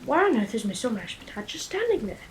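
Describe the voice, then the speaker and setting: A woman's voice: a little high, sing-song, fast. A woman playing a jittery, exasperated husband who repeats himself in a children's radio play. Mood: grumbling, determined. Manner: stammering, repetitive, exasperated. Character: jittery, grumbling husband, a startled townsman. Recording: faint background noise.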